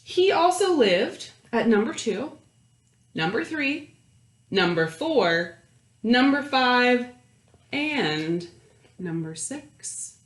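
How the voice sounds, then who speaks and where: A woman reading a picture book aloud to young children, expressive, with questions and asides to her listeners. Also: a little high, drawn-out words, pauses often. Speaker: children's storytime host and story reader. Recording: roomy, echoey indoor sound.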